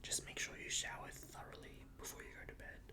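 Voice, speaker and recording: A man doing an ASMR roleplay in a breathy whisper, speaking gently and reassuringly like a big brother, close to the microphone. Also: fast talker. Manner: gentle, reassuring big-brother patter, repeating words softly.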